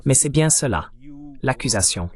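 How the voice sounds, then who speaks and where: A man's voice: medium pitch, lively intonation, moderate pace. Man host asking probing questions. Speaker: interviewer, host. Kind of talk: interview questions, voiced over in French.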